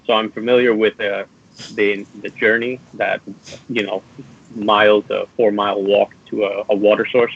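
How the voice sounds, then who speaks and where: A young man from New York speaking as a student guest, earnest and halting, filling gaps with uhs. His voice is fairly low and quite flat, and the audio sounds dull and lo-fi. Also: gravelly. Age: young adult. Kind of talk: casual interview.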